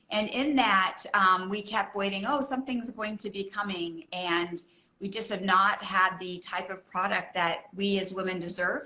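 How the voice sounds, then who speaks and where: A woman in her forties, a gynecologist, speaking in an earnest, measured way on a video-call panel. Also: medium pitch, bright, forceful tone, low-quality recording. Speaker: gynecologist, brand co-founder. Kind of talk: panel discussion.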